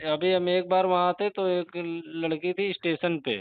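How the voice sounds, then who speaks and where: An actor as a hesitant male henna artist in a casual phone role-play. His voice is clear and somewhat high, with a plaintive, reluctant manner. The call audio is dull and lo-fi. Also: little pitch movement, measured pace. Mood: exasperated.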